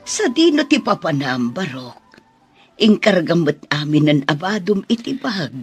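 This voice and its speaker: A performer playing a worried, tender mother in a radio drama, with a husky voice and gently admonishing manner. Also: medium pitch, sing-song, measured pace, soft background music. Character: worried, tender mother sending her son off.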